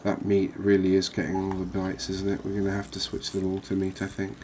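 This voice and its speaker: A man giving calm, unhurried, soft-spoken ASMR gameplay commentary, musing aloud as he plays. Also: deep voice, noisy background. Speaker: gaming video host.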